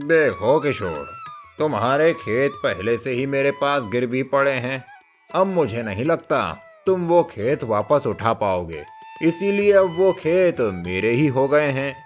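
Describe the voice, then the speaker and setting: A man voicing a greedy village headman in a cartoon moral story, calculating and condescending as he lends money. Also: mid-range voice. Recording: music bed under the voice.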